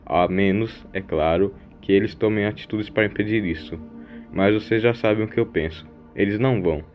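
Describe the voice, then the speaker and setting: A man's voice: lowish voice, dull audio, lightly acted. A man playing a mysterious, fatalistic wanderer in an audio drama, grandiose and scornful of humanity.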